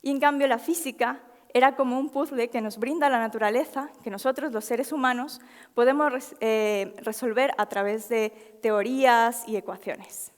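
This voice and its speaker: A young woman gives a public talk in a lecture hall. Her voice is fairly high, and she speaks earnestly, pausing on small fillers. A long hall reverb trails her words. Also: steady tone. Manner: earnest, frequent filler pauses. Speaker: scientist giving a talk.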